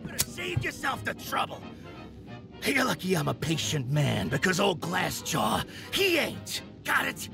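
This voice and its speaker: A menacing gangster boss in a noir video game. His voice creaks and lurches up and down with scorn, spitting old-time mob slang over music. He has no patience for fools. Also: sits high, wildly swooping pitch, unhurried, exaggerated voice. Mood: scornful, menacing. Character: menacing impatient mob boss berating his dim henchmen. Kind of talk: 1940s noir gangster acting.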